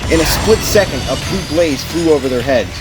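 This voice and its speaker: A man's voice: on the high side, lively intonation, brisk, loud music behind the voice. A man narrating an adventure story in a dramatic, scripted storytelling style.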